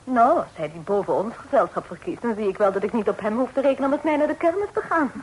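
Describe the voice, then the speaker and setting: A woman voices a sharp-tongued young sister in an old-fashioned radio play. Her high voice skips lively up and down as she mocks and complains about her chances with suitors. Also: quick pace, a little hiss or hum, natural voice, rough recording. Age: young adult. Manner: pert, mocking. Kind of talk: period radio drama acting.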